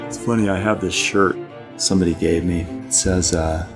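A middle-aged man with a very deep voice talks about himself. He is candid and plain-spoken, in a reflective documentary style. Music plays clearly behind him. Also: fairly even intonation, moderate pace. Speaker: ski guide.

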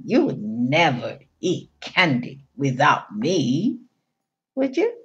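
A woman's voice: low voice, wildly swooping pitch, slow pace, a bit bright, dull audio, faint music behind, pitched below the performer's own voice, full character voice. A woman voicing a curious, suspicious young child from a picture book, in a dramatic, wondering manner. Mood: hopeful, doubting. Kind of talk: picture-book character read aloud.

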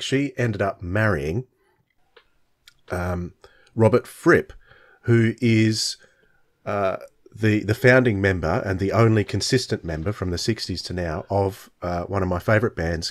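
A man's voice: lowish voice, unhurried. A middle-aged man co-hosting a podcast, chatting casually and jokily as he gives recommendations into a close microphone. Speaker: podcast host. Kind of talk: casual chat, giving recommendations. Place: indoors on a video call. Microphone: large close microphone with headset.

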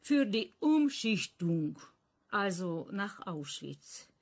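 An actress playing an older Holocaust survivor in a German radio crime drama, explaining deliberately with wry bitterness. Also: female voice, medium pitch, big pitch swings, full character voice. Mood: bitter, explaining.

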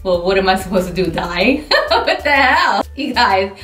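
A woman's voice: mid-range voice, normal speed, faint music behind. Chatty woman in her forties, roomy sound. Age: 40s. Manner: chatty and animated, swears mildly, hands moving. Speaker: beauty vlogger. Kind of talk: casual product review to camera. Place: indoor studio, dark backdrop. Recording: roomy, a bit far from mic.

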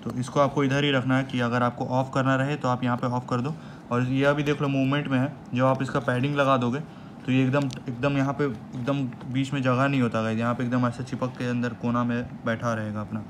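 A man's voice: medium pitch, brisk. A young man in a casual vlog, walking viewers through a gear setup step by step, chatty and repetitive, calling them "guys". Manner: chatty, repeats words, says "guys" often. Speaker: motovlogger. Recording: steady background noise.

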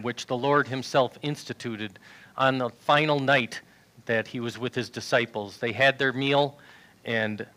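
Bright-voiced man in his sixties preaching. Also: mid-range voice. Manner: earnest preaching, reads scripture aloud from a tablet. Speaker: pastor. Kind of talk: sermon. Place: large church sanctuary with choir risers. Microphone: headset mic.

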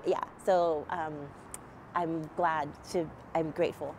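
Middle-aged woman, animated testimony to camera outdoors, slight nasal cold, faint traffic behind. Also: low voice, takes it slowly. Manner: animated, many fillers, gesturing as she talks. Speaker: nonprofit founder. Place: outdoors at a church event, near a street.

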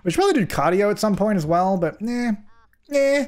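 A young man with a slightly hoarse voice from a cold, giving jokey, chatty commentary while playing a life-simulation game and scolding his characters, recorded on a headset mic at home. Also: high-pitched, expressive, fairly quick. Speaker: gaming YouTuber. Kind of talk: casual let's-play commentary.

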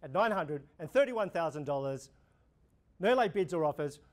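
A young man with an Australian accent, an auctioneer running a practice auction, calling bids in a steady repeating rhythm. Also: on the high side, moderate pace. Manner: rhythmic, repetitive bid calling, gestures while talking. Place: indoor room before a projection screen.

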